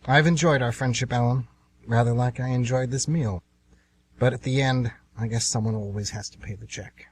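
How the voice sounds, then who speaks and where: Measured, rueful hitman, acted by a man. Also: mid-range voice, steady tone, slight background noise, close to an everyday voice. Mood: rueful, polite. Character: smooth, rueful male hitman posing as friendly tourist. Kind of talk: amateur radio play acting.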